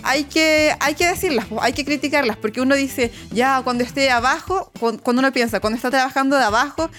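A woman's voice: high-pitched, brisk, fairly bright tone, faint music behind. A Chilean woman speaking as a political candidate in a casual campaign interview, explaining her plans.

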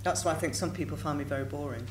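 Guest actress type: low-ish, chatty and self-mocking, recorded far off in a reverberant hall. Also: female voice, racing. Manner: rambling, self-deprecating, laughs easily. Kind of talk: candid panel answers. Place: large event hall with audience. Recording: echoey hall, distant mic, loud room noise.

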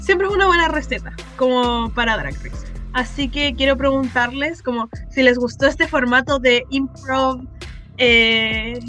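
Woman podcast host, high and bright, asks questions, faint music bed. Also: a little slow. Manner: guides discussion with questions. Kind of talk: casual chat.

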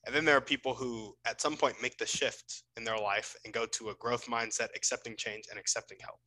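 A man hosting a podcast, asking questions in a measured, encouraging way. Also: mid-range voice, steady tone, pushed and bright. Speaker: podcast host. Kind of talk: interview questions.

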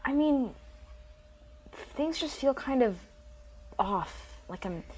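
Jittery prospective student, audio comedy female actor: high voice, very animated pitch, informal, hesitant, exasperated. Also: slow pace, husky, dull audio, heavily acted. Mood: uneasy. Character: anxious, foul-mouthed prospective student on a campus tour. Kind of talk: comedic audio drama acting.

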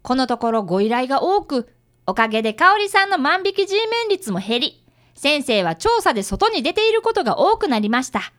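A woman's voice: high-pitched, big pitch swings, a little fast, a bit bright. A woman playing a polite, earnest fifth-grade boy who is an apprentice detective and narrates a radio drama.

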